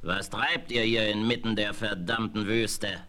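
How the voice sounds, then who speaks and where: A man's voice: on the low side, fairly even intonation, measured pace, sharp tone, slight background noise. A man playing a boastful, swaggering older general in a German radio play.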